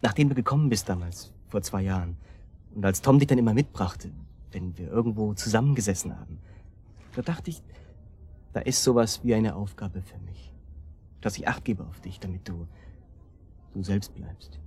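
An actor playing a self-doubting young man who speaks haltingly in naturalistic radio drama. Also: fairly low pitch, a little mellow, husky. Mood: guilty, hesitant. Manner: halting, repeating himself. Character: Bernie, hesitant, self-doubting young man burdened by guilt. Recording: noticeable background noise and ambience.

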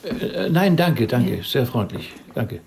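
An actor playing a polite, hesitant rabbi in a naturalistic radio drama, halting and deferential. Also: male voice, mid-range voice, big pitch swings, creaky, a little mellow. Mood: uneasy, hesitant. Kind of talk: naturalistic German-language radio drama acting.

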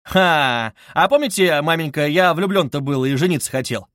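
A man's voice: on the high side, animated, normal speed, fairly bright tone, no room sound, studio quality, pitched above the performer's own voice. Man acting an erratic, feverish young man. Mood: restless, insistent. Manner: abrupt, agitated, insistent.

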